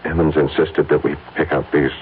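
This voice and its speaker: Radio drama husband: low voice, earnest and halting, conscience-stricken, on a thin, hissy vintage recording. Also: male voice, little pitch movement, phone-quality sound. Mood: ashamed. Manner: halting, earnest, pleading delivery. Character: guilt-ridden husband, a working insurance man confessing. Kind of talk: old-time radio drama acting.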